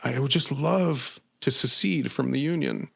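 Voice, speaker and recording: A middle-aged man hosting a podcast, losing his voice and talking in an animated, joking, tongue-in-cheek way. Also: mid-range voice, a little slow, vocal fry, slightly rough. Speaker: podcast host. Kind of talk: casual conversation.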